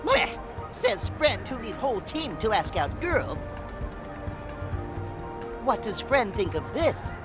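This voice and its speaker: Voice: medium pitch, very animated, takes it slowly, pauses often. An actor playing a small, cheerful, gluttonous furry creature in a video game, talking in broken childlike sentences about itself in the third person. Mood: smug. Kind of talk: video game cutscene acting. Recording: music behind the voice.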